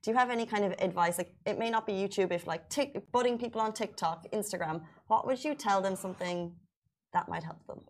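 A woman's voice: medium pitch, fairly quick. A woman co-hosting an interview with casual banter and questions for the guest. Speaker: interview co-host.

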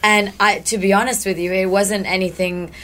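A woman from Iraq co-hosting a podcast, talking in a reflective, halting way with many restarts. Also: mid-range voice, fairly even intonation, a bit bright. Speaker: podcast co-host. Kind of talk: casual chat.

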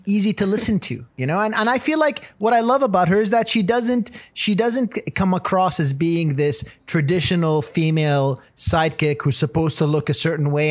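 A man co-hosting a podcast, debating casually in an emphatic, mock-indignant way. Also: high voice. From Canada. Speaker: podcast co-host. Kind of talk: playful debate, casual chat.

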